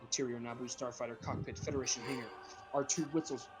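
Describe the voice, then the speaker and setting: A man's voice: medium pitch, fairly even intonation, fast talker. A man reading screenplay directions aloud as the narrator of a group script reading.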